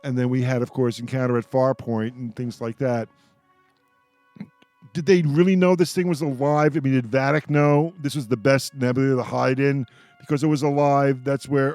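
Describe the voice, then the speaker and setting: A man's voice: medium pitch, darkish tone, dry recording. A man hosts a casual panel discussion in a wry, mock-exasperated way. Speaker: radio show host.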